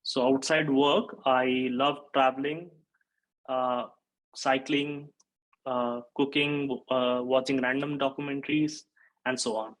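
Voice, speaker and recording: A man's voice: medium pitch, flat delivery, slow pace, low-quality recording. A man in his thirties from Nepal, giving a technical conference talk from a webcam setup, explaining steadily with frequent "uh" fillers. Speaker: conference speaker, software engineer. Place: indoor home or office room. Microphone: webcam-style video call framing.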